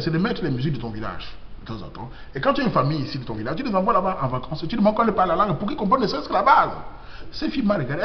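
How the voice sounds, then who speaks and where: A man's voice: a little high, roomy sound, long reverb tail, dull audio. A man from Cameroon with a Cameroonian French accent, delivering an emphatic, preachy monologue full of rhetorical questions. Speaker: vlogger. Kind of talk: impassioned monologue.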